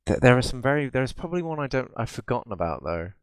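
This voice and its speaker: A man's voice: medium pitch, big pitch swings, fast talker, smooth, no echo. A man chatting casually as a podcast co-host, opinionated and informal, with plenty of swearing. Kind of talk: casual chat about films and games.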